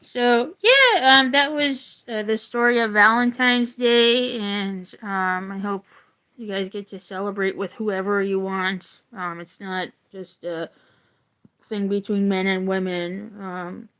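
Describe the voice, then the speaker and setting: A woman reads a children's nonfiction book aloud at a slow, unhurried pace. Her voice sits at a medium pitch, and she mixes the reading with casual, informal commentary in the manner of an educator. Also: sharp tone, clear, resonant tone.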